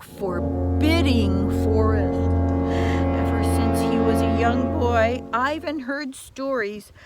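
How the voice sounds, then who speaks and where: High-pitched older woman reading a children's story. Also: background noise. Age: older, grandmother. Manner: theatrical, slow and deliberate, drops into whispers, repeats words for emphasis. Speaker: storyteller and primary teacher. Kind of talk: dramatic children's story reading with personal asides.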